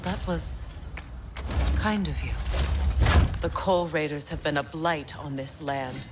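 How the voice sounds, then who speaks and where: An actress playing a proud, wounded middle-aged goddess in a video game, sombre yet compassionate, with a measured, guarded delivery. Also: female voice, low voice, over loud music. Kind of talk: video game dramatic acting.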